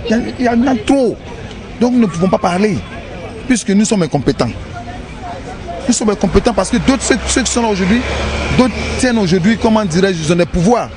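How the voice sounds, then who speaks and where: Ivorian guy in a street interview, highish voice, emphatic, repeats himself, loud traffic noise. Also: animated, brisk, pauses often, crowd noise. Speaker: aggrieved resident interviewed on the street. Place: outdoors on a city street. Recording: noisy outdoor street with traffic.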